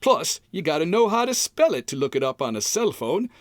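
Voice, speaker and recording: A man plays a folksy, stubborn husband from an old-time radio comedy. His pitch swings widely as he hems and haws, and the sound is dry and close. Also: sing-song, brisk, dry recording. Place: recorded at home, dry and close.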